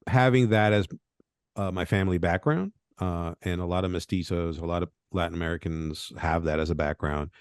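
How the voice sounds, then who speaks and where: A man's voice: low voice, fairly even intonation, a little fast, clean tone, dry recording, clean studio sound. A man from Venezuela, a game designer talking loosely in a podcast interview, often tagging thoughts with "right?". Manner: rambling, tag questions like "right?".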